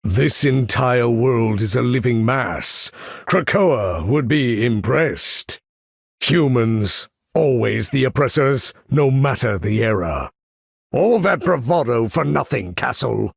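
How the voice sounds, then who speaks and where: A male actor playing a proud, imperious older supervillain commander in a video game, with a gravelly, husky voice and grandiose, menacing, formal delivery. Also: medium pitch, animated, slow, dry recording, clean studio sound, full character voice. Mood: grave pride. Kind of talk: theatrical video game character voice lines.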